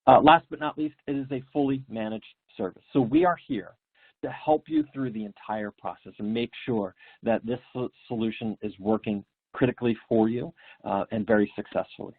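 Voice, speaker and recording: Brief: mid-pitched man hosting a webinar, upbeat presenter tone, dry close sound over a phone-like line. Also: moderate pace, breathy edge, no room sound. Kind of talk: product presentation. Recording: dry, tinny online call audio.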